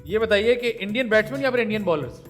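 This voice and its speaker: Brief: male talk show host, Pakistani Urdu accent, high bouncy voice, playful coaxing quizmaster. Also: lively intonation, fast, fairly bright tone, faint music behind. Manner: teasing, laughing, coaxing. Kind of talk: playful rapid-fire quiz questions.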